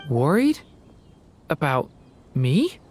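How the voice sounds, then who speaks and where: A woman voicing a gentle, earnest young storyteller in a video game, speaking softly and hesitantly. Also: sits low, sing-song, drawn-out words, slight background noise, heavily acted. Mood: apologetic. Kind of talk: animated video game voice acting.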